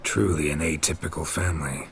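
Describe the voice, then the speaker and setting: An actor playing a gruff, weary monster hunter in a video game, terse and deadpan with a low gravelly voice. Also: male voice, a little fast, a little hiss or hum. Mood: dry, wry. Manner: terse, deadpan, clipped sentences. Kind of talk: video game dialogue acting.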